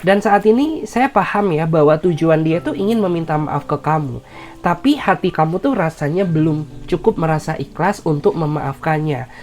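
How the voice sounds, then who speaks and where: A woman's voice: low voice, expressive, moderate pace, a little mellow. A woman doing a tarot reading with an Indonesian accent, her voice nasal from a cold, speaking soothingly with many filler words. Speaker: tarot reader.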